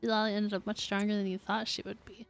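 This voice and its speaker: A woman streamer chats casually while playing a game. Her voice sits at a middle pitch, with faint game music behind her. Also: little pitch movement, quick pace, vocal fry, dry recording. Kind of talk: casual livestream chat and reactions.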